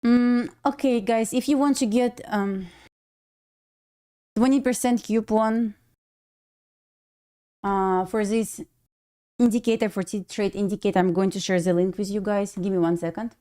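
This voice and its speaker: A woman's voice: medium pitch, steady tone, a little slow, long pauses, mellow, clean tone. A woman from Belarus with a Russian accent, casually commenting on a live trading stream, thinking aloud and answering chat. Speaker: day-trading livestream host.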